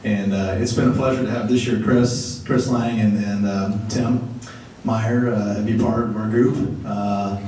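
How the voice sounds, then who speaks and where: A man's voice: fairly low pitch, steady tone, a little slow, mellow, a little hiss or hum. A man introducing a men's group program to an audience, talking casually with many fillers. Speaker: group organizer introducing speakers. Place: large meeting room indoors. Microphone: far camera. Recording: echoey room from far off.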